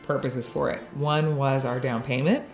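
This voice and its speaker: Low-voiced chatty woman. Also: steady tone, moderate pace, a little mellow, faint music behind. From Dallas area, Texas. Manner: chatty, laughs often, many "like" fillers. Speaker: interviewee. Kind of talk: personal faith testimony.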